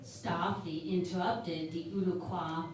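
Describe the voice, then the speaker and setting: An earnest, analytical female science officer, acted by a woman in a sci-fi radio parody. She speaks in a slow, deliberate, matter-of-fact way. The sound is very echoey and a bit distant. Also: on the low side, fairly even intonation, natural voice. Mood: solemn. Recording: very echoey room, distant mic, faint noise.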